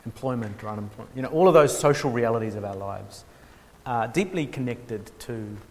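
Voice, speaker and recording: A man gives a relaxed workshop talk at a middle pitch. He pauses often with ums and restarts, and adds a dry, self-mocking humour. His voice carries a long hall reverb. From New Zealand. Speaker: workshop speaker on theology. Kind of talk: informal lecture.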